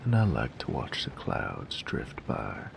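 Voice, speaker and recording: A man playing a gruff, jaded drifter bandit in a roleplay audio drama, with a gravelly voice and a sardonic, folksy drawl. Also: huge pitch swings, slow pace, noisy background, heavily acted. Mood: wistful. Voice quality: gravelly, very low voice. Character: gruff, jaded male bandit who grew up drifting with a carnival.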